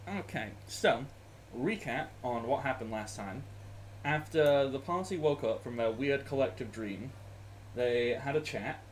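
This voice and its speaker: A man's voice: medium pitch, a little slow, slight background noise. Chatty man running a tabletop game. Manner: laughs often, rambling with fillers. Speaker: game master. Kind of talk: running a tabletop game over a video call. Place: small room at home. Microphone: headset.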